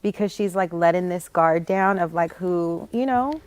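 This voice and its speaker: A woman in her thirties hosting a podcast in a relaxed, casual chat, filling her speech with "like". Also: on the low side, moderate pace, smooth. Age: 30s. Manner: relaxed, filler-heavy, says "like" often. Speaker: podcast host.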